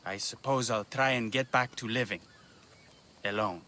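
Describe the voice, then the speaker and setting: A man's voice: mid-range voice, normal speed, bright, forceful tone, lightly acted. An actor playing a grieving, vengeful man in a video game, solemn and bitter. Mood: subdued, solemn. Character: grieving, vengeful male musician who lost his brother. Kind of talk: video game dramatic acting. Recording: faint ambient noise.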